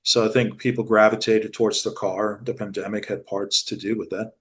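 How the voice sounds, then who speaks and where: A middle-aged man from Germany, a real estate director, talking in a measured, businesslike way with frequent fillers. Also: lowish voice, little pitch movement, slight background noise. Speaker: commercial real estate managing director, guest. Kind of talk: casual interview talk.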